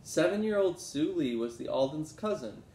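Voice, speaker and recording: Man reading a children's storybook, roomy sound. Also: normal speed. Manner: expressive, acts out dialogue. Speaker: teacher reading aloud to pupils. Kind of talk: children's storybook read-aloud. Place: small room at home. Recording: roomy echo, low rumbling noise.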